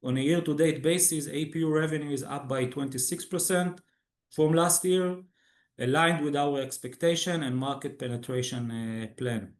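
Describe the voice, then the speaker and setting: A man who speaks English as a second language, formally reading a scripted financial results presentation as a company executive, with halting pauses. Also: fairly high pitch, echoey room. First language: not English. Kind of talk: scripted earnings call presentation.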